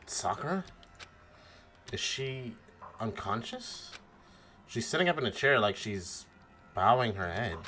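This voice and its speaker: A man's voice: medium pitch, very animated, slow, long pauses, pushed and bright, full character voice. A man voicing an earnest, anxious teenage schoolboy who narrates his own mystery in a visual novel read-aloud, with dramatic expression. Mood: worried.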